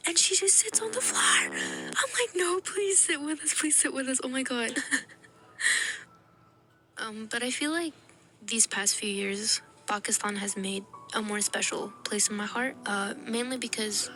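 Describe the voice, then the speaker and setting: A young adult woman telling stories to camera in a casual, animated way, with frequent laughs and fillers. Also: a little high, unhurried. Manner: animated and giggly, with many fillers. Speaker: vlogger. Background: background music.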